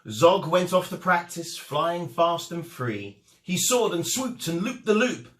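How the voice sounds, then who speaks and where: A man tells a children's story in a fairly high, very animated voice. He takes his time and stresses words dramatically. The recording has the echo of a room. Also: unhurried. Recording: echoey room.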